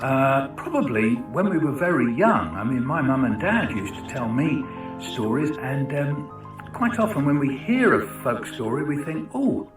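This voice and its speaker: A man's voice: medium pitch, big pitch swings, a little slow. A man giving an informal educational talk in a conversational, chatty way, often posing questions to his listeners. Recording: roomy, distant mic with music.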